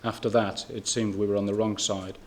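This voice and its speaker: A man, a police family liaison officer, formally reading out a statement on behalf of a family in a measured, occasionally hesitant way. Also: on the low side, level tone, a little hiss or hum. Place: room at a press briefing.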